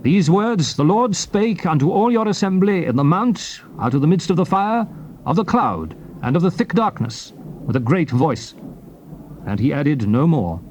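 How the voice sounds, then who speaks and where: A man giving a solemn, dramatized reading of King James scripture, declaiming it in deliberate phrases. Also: fairly high pitch, very animated, a little mellow. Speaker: scripture reader. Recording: noisy old cassette tape recording.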